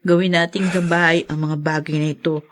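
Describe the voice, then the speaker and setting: A woman's voice: on the low side, little pitch movement, normal speed, a little hiss or hum, close to an everyday voice. A woman playing a respectful, forgiving daughter and younger sister in a Filipino radio drama. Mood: forgiving, earnest.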